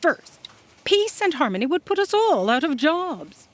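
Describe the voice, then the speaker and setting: Woman as imperious boss, animated high voice. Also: a little slow. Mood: haughty. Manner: pompous, authoritative. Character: imperious, self-serving female boss of cosmic bureaucracy. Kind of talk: scripted audio comedy drama acting.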